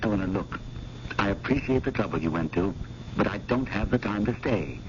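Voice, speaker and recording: Through the hiss of an old radio broadcast comes an actor playing a stuffy, skeptical museum curator, male, with a lowish and very animated voice. He is formal and politely exasperated. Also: fairly quick, lo-fi sound, close to an everyday voice. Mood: doubtful, firm. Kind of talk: 1980s radio drama acting.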